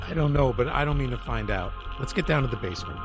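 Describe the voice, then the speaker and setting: A man's voice: medium pitch, lively intonation, fast talker, music in the background, close to an everyday voice. A man playing an agitated, frightened man in an audio drama. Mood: tense, resolute.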